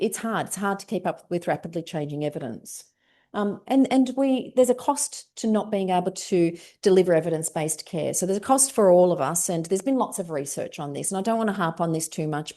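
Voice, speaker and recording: Australian woman lecturing in a mellow voice. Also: lowish voice. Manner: loud projecting voice, conversational. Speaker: guest lecturer. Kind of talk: informal academic lecture. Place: lecture room.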